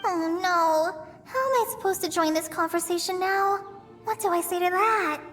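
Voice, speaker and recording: Shy teen girl, very high voice, anxious, hesitant, video game acting, music behind. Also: normal speed, a bit bright. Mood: anxious, flustered. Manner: hesitant, self-doubting. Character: shy, anxious teenage girl, trainee forest ranger.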